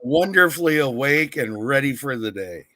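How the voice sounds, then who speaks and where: A man's voice: mid-range voice, animated, moderate pace, sharp tone, dry recording. A man co-hosting a casual live-stream chat over a video call, teasing and joking with the host.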